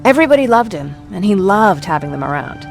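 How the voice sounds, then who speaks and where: A woman's voice: medium pitch, sing-song, fast, creaky, over music. A woman playing a talkative, protective young war survivor in a video game, wry and self-deprecating in natural dialogue. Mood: chatty, fond.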